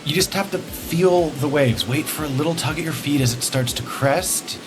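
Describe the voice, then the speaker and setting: A man playing an excited, encouraging teenage boy at the beach in a film script table read. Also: a little high, normal speed, over music, natural voice, poor audio. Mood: eager, encouraging.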